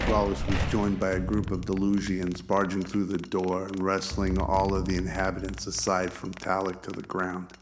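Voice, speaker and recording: A man's voice: sits low, completely flat delivery, normal speed, few pauses, noisy background. A man reading scripted narration for a radio drama.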